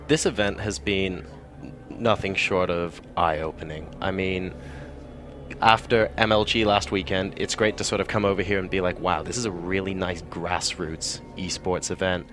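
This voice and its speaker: A man from Hong Kong, an esports caster, talking quickly and enthusiastically in an interview at a busy event. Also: deep voice, steady tone, fairly bright tone, a little hiss or hum. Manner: quick, chatty and enthusiastic, with frequent fillers. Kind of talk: casual interview answers.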